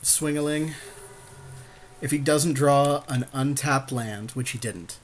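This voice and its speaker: A man's voice: medium pitch, slow pace, slight background noise. A man co-hosting a podcast, casually commentating a card game with a friend in joking, slangy talk with offhand swearing.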